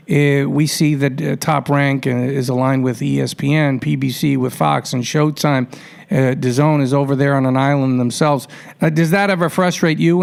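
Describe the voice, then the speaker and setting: A man's voice: on the high side, creaky, darkish tone. A man hosting a radio interview, chatty and colloquial as he sets up questions for a guest. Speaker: radio show host, interviewer.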